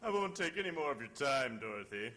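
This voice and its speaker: A man's voice: medium pitch, very animated, a little fast, bright, forceful tone. An actor playing a courteous, assured male opera singer in an old-time radio drama, speaking with polite formality.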